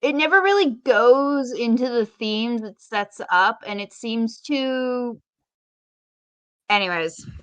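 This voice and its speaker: A woman's voice: a little high, lively intonation, slow pace, dry recording. A woman co-hosting a casual podcast, chatty and opinionated, with wry, rambling asides. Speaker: podcast co-host.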